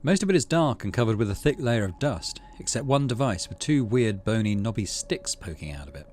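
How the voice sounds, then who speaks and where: A middle-aged man doing let's play commentary, muttering asides and reading game narration aloud in a measured storytelling way. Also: fairly low pitch, very animated, darkish tone, slight background noise. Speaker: gaming video host.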